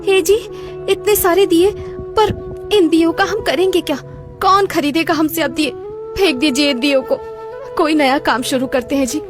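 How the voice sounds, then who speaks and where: Woman acting a very high-pitched village woman. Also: normal speed, pauses often, natural voice. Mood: worried. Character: village women, anxious wife or pushy customer. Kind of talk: Hindi cartoon moral-story voice acting. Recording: background music throughout.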